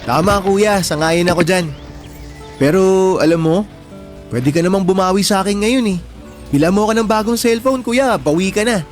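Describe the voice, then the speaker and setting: A man's voice: sits high, normal speed, pauses often, soft background music, natural voice. A young man playing a sensitive, affectionate younger brother in an emotional radio drama, speaking in a boyish, earnest way. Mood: playful pleading. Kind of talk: radio drama acting in Tagalog.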